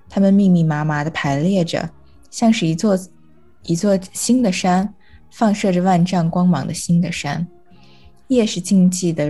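A woman's voice: on the low side, dark tone. A woman reading a short piece of literary prose aloud. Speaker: reader of a short story.

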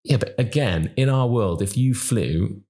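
A young man from Wales co-hosting a casual podcast, playful and quick to laugh as he tells stories. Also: on the low side. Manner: playful, laughs often, animated storyteller. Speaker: podcast co-host and fitness instructor. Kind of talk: casual chat.

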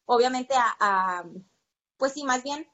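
A woman's voice: high voice, slow, sharp tone, lo-fi sound. A Mexican woman talking conversationally as an interview guest, telling personal stories in a talkative, emphatic way and repeating words for stress. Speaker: interview guest, percussion teacher.

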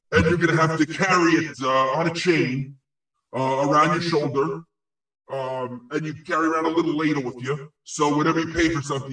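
A man playing the crude, spiteful ghost of a dead man, pompously handing out insults and prophecies in a halting comic delivery. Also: fairly high pitch, a bit bright, close to an everyday voice. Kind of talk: improvised comic audio play. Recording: very echoey, distant-sounding voice.

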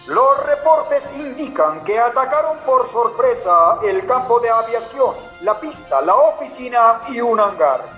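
A man's voice: very high voice, expressive, a little slow, a bit bright, heavily acted. A man playing an urgent, declamatory Colombian newsreader of the 1950s on an old radio. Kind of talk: 1950s radio news acting. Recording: lo-fi echoey old radio, music behind.

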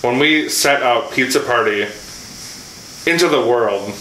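A man talks in an upbeat, chatty way. His tone is bright and clear. He sounds echoey, with faint room noise behind him. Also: medium pitch, unhurried. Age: 30s. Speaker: musician guest. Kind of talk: casual chat.

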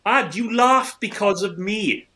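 A man's voice: high voice, slow pace, a crowd in the background. A man in his forties bantering casually as a podcast host, with a wry, teasing manner. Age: 40s. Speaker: film review podcast host.

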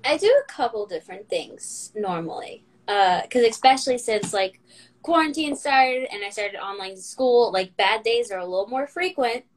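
A teenage girl speaks as a guest in a casual chat. She often pauses and stops to restart, and she talks herself down. Her voice is slightly high. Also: expressive, poor audio. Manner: hesitant, self-deprecating.

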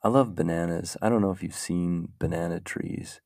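A man with a very deep, gravelly voice hosts a casual talk, rambling slowly and thinking aloud as he goes. The sound is dry and close. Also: a little mellow, no room sound. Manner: meandering, muses aloud while browsing. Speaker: podcast host.

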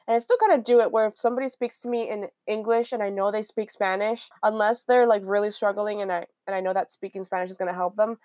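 A woman's voice: medium pitch, fairly even intonation, fast talker, hardly any pauses, darkish tone, no room sound, studio quality. A young woman from Miami with a Miami accent, talking through her thoughts in a casual conversation, with frequent restarts. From Miami, Florida, USA. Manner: reflective, thinks aloud with restarts.

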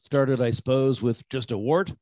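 A man's voice: medium pitch, moderate pace, darkish tone, dry recording, natural voice. A man playing a wry, wisecracking private detective with a sardonic manner, acting and narrating in old-time radio style. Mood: dry, sardonic. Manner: dry, sardonic.

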